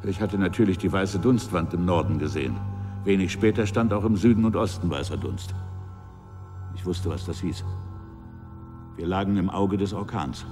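Man acting a grave, deep-voiced sea captain in reverb. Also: close to an everyday voice. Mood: grave, measured. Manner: stark, measured, somber storytelling in short phrases. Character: grave male sea captain on trial, recounting his shipwreck. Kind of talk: German radio drama acting. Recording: long reverb, faint music behind.

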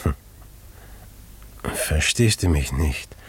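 An actor playing a scheming, secretive village innkeeper in debt, in a German radio drama, with an insistent, calculating manner. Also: male voice, a bass voice, animated, extremely slow, breathy edge, full character voice. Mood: coldly insistent. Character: scheming, debt-ridden village innkeeper coldly plotting a crime.

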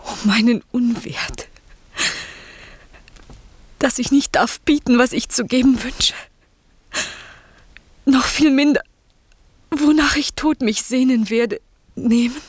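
An actress playing an innocent, sheltered young maiden in classical radio drama, soft and earnest, often sinking to a breathy near-whisper. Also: female voice, on the high side, takes it slowly, long pauses, dry recording. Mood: tender, yearning.

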